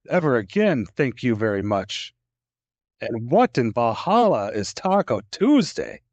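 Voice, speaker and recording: A man plays a blustering Norse warrior god for an audio drama. He is sarcastic and threatening, taking his time with lively swings in pitch. The sound is slightly dull and close. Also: dry recording. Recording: dry, dull close-mic recording.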